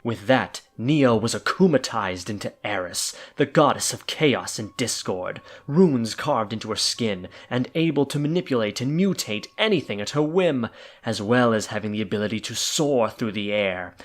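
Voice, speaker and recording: A man's voice: on the low side, animated, normal speed. A man narrating an audio drama in a playful, theatrical storytelling manner.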